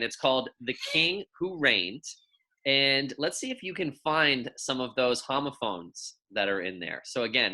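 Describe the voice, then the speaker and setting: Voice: medium pitch, sharp tone, rough recording. A teacher giving a read-aloud lesson for young children in a friendly, informal, encouraging way. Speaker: elementary school teacher.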